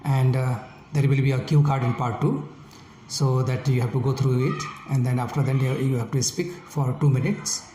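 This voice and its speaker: A man with a Nepali accent runs a formal speaking-test interview, putting each question slowly and with hesitant fillers. His voice is mellow and relaxed. It sounds like a roomy space with faint background noise. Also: mid-range voice. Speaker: IELTS speaking examiner. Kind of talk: scripted exam interview questions. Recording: echoey room with faint noise.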